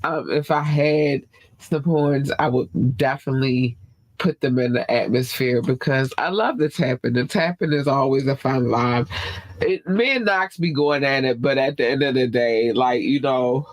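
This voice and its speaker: A man's voice: medium pitch, normal speed, no room sound. A man hosting a live podcast in a laid-back, chatty way, audibly congested. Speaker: podcast host. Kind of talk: casual live podcast talk.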